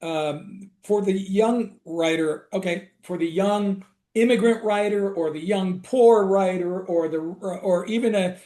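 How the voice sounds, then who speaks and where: Male podcast host, higher-pitched, casual clarifier, echoey room. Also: dark tone. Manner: clarifying for listeners, quick to laugh along. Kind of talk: casual interview chat.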